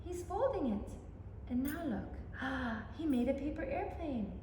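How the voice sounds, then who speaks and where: A woman storyteller reading a picture book aloud to young children, animated and inviting listeners in with questions, in an echoey room. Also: sits high, measured pace. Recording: echoey reverberant room, faint background noise.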